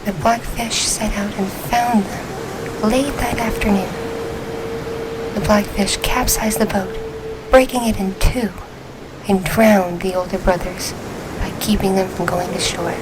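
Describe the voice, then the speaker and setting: A woman voicing a gentle orca girl spirit who tells a bedtime story in a soothing, unhurried ASMR style, drifting into whispers. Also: mid-range voice, heavy background noise, close to an everyday voice. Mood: solemn, calm.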